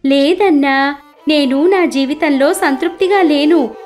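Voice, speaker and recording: Swan, animated moral tale: female performer, high, anxious, plaintive bird, never content. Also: a little slow, faint music behind. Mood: fearful. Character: anxious, unhappy swan in a fable.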